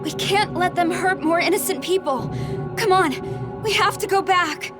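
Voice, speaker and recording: A woman's voice: very high voice, measured pace. A young woman playing an earnest, compassionate heroine in a video game, with a questioning manner. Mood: alarmed, urgent. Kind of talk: dramatic video game voice acting. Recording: music behind the voice.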